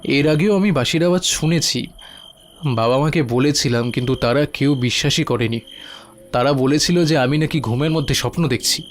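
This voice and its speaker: A man's voice: mid-range voice, moderate pace, slight background noise, natural voice. A man playing an earnest, inquisitive young villager in a cartoon. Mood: curious, uneasy. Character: curious, uneasy village youth questioning an elder. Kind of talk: cartoon voice acting.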